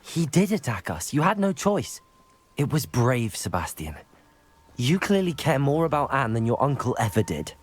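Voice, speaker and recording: A man's voice: medium pitch, very animated, slightly rough. A man voicing a level-headed, supportive young student wizard with a British accent in a video game. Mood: reassuring. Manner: measured, reassuring. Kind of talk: video game cutscene dialogue.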